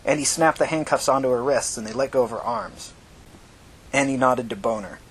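A man's voice: a little fast, vocal fry, slightly rough. A man narrating dramatic fiction as an audiobook reader. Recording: noisy recording with low rumble.

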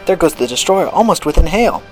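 An eager young gentleman hero of a storybook, acted out by a male reader. He is intense and exclamatory, pressing his words with urgency. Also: fairly high pitch, expressive, fairly quick, faint music behind, voice pushed up.

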